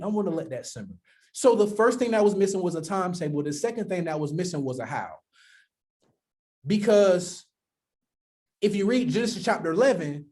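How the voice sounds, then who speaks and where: A man's voice: high voice, a little mellow. A man preaching a sermon in a conversational way, reading scripture aloud and then explaining it, often repeating his key phrases.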